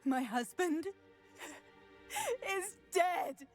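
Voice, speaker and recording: A grieving mum in a fantasy game, high voice, anxious and sad, soft music behind. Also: female voice, lively intonation, extremely slow, exaggerated voice. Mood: distraught. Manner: anxious, sorrowful. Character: grief-stricken widowed mother, anxious, gentle villager witch. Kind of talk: video game character dialogue.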